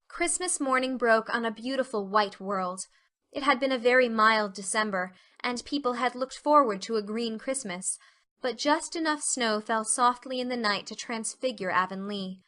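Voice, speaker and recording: A woman's voice: on the high side, normal speed, bright, forceful tone. A woman narrator reading a scripted classic storybook for a dramatized audiobook.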